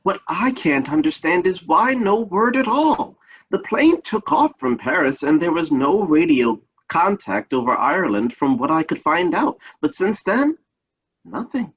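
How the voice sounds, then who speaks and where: A man plays a genial, folksy uncle in old-time radio drama. His voice is husky and moves freely up and down. The recording is somewhat dull. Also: high voice, sing-song, measured pace, a bit bright, full character voice. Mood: worried.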